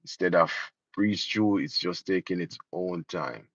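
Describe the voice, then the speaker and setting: A man's voice: sits low, measured pace, breathy edge, dry recording. A Jamaican man giving casual political commentary, indignant and sarcastic. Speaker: vlogger. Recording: dull lo-fi dry sound.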